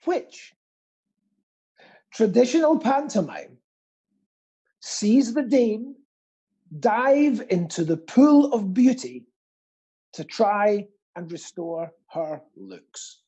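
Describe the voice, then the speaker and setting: A middle-aged British man hosts a live quiz in a husky voice. The tone is mellow, and he banters casually, repeating phrases theatrically for comic emphasis. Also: high-pitched, lively intonation, extremely slow, pauses often, dull audio. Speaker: quiz co-host and pantomime dame performer.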